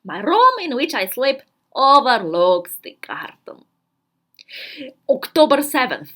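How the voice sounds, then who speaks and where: A woman acts out a worldly-wise fourteen-year-old girl confiding in her diary with grand self-importance. Her voice is high and swoops widely, delivered slowly with theatrical pauses and emphatic words, as a dramatic storybook reading. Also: big pitch swings, pushed and bright, heavily acted. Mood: haughty, pleased.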